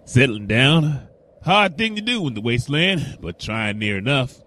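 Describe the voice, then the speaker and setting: A man's voice: mid-range voice, expressive, normal speed, sharp tone, slight background noise. A man voicing a protective, folksy pony father in a wasteland audio drama, with a drawling Southern US accent. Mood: warm, jovial.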